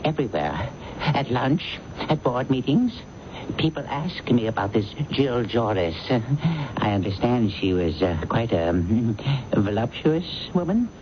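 An actor playing an older, stuffy and prurient investment-house boss in a radio drama, hemming and hawing pompously. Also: male voice, mid-range voice, sing-song, a little slow. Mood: hedging curiosity. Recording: muffled, noisy old radio broadcast.

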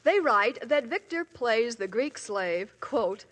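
A woman's voice: a little high, lively intonation, slow pace, a bit bright. A woman Hollywood reporter in a scripted 1950s radio segment, chatty and enthusiastic.

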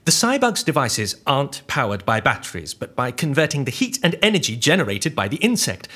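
An actor playing an anxious, earnest scientist on the run in an audio drama, explaining things in a precise, literal way with a husky voice. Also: male voice, mid-range voice, sing-song, a little fast, pushed and bright, exaggerated voice. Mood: proud, explanatory.

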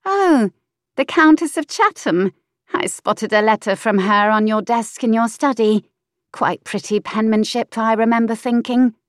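A woman voicing an impudent young mistress in an audiobook, with a high, airy, pert voice. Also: unhurried, dry recording, studio quality, natural voice. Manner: pert, teasing.

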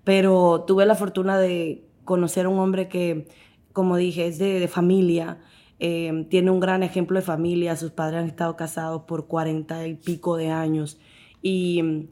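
A young adult woman from the Dominican Republic with a Dominican Spanish accent, guest in a casual interview, animated and quick to laugh as she acts out the voices in her stories. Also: on the low side.

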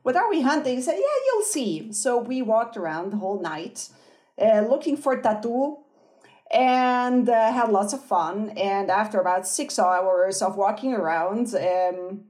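Woman from Switzerland, interview guest, cheerful, lively storyteller. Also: medium pitch, measured pace. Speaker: guest researcher.

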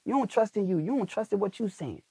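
Guy w a high voice preaching about content, repeats himself, dry close mic. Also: expressive, quick pace, gravelly, dark tone, husky, no room sound. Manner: emphatic, preachy, repeats phrases. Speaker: guest, content coach. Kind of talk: motivational podcast interview.

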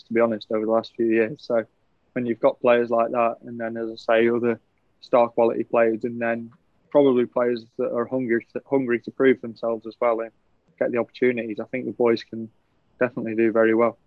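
A man's voice: medium pitch, a little fast, dry recording. Young sportsman as podcast guest, easygoing and chatty, muffled dry recording. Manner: relaxed, chatty, laughs often. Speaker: professional cricketer guest. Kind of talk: casual podcast interview. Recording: dull, lo-fi, dry sound.

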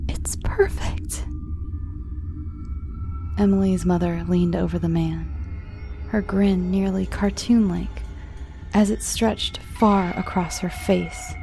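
A woman narrates horror fairy tales at an unhurried pace. Her voice is mellow with a noticeable creak, and soft music plays behind her. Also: fairly low pitch, fairly even intonation. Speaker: podcast host and storyteller.

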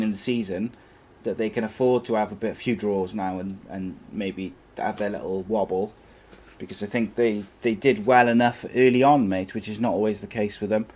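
A man's voice: lowish voice, darkish tone. A man hosting a casual football chat show, laid-back and chatty, reading out viewer questions. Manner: laid-back, chatty, calls co-host mate. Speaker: show host.

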